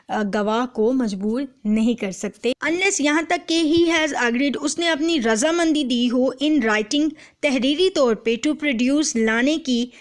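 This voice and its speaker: A Pakistani woman giving an explanatory lecture, reading and translating line by line as she switches between English and Urdu. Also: on the high side. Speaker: law lecturer.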